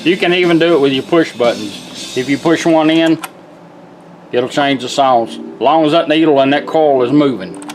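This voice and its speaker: A man's voice: on the high side, normal speed, soft background music. A man giving a casual hands-on product demonstration in a plain-spoken, folksy, step-by-step way.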